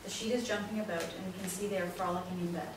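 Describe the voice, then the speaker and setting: A woman's voice: fairly low pitch, fairly even intonation, quick pace. A woman narrator reading out the stage directions of a play as scripted narration. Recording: very echoey, distant, noisy room recording.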